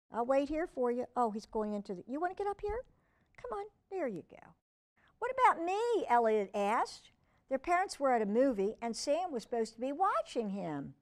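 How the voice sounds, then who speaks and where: Lady reading a kids book out loud, really animated, chats to the kids and her pet as she goes. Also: on the high side. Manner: expressive, chatting to young listeners and a pet. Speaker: children's storytime reader. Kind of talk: reading a children's book aloud with chatty asides.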